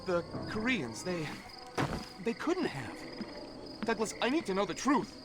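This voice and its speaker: An actor playing an anxious, timid man in a video game, speaking in a halting, fearful, stammering way. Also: high-pitched, huge pitch swings, over loud music, exaggerated voice. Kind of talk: dramatic video game voice acting. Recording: very noisy night ambience with insects.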